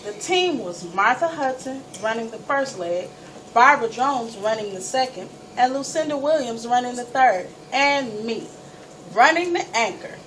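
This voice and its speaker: A woman storyteller reading a first-person story aloud with dramatic, emphatic delivery and deliberate pauses. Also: fairly high pitch, lively intonation, a bit bright. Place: live event space, recorded from a distance. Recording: distant mic, noisy room ambience.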